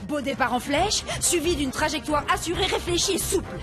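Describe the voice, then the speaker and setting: A woman voicing a bubbly young female cartoon character giving excited sports commentary. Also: high-pitched, brisk, over music.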